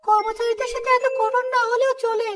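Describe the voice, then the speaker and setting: A man's voice: extremely high pitch, flat delivery, brisk. A man voicing a mild, reasonable customer in a cartoon, polite and pleading as he moralizes. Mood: polite, concerned. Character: mild, reasonable male customer gently reproaching. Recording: clear background music throughout.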